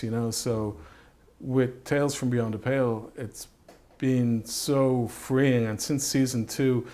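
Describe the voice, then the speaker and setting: A man speaking reflectively in an interview as a writer and director, halting and thoughtful, often repeating phrases as he goes. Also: medium pitch, a little mellow.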